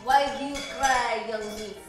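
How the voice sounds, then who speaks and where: A woman's voice: a little high, steady tone, measured pace, close to an everyday voice. An actress playing a melodramatic, bossy Frenchwoman with a French accent and broken English in a comic old-time radio play. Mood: concerned, curious. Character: melodramatic French woman, bossy and dramatic club acquaintance. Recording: roomy, echoey stage recording.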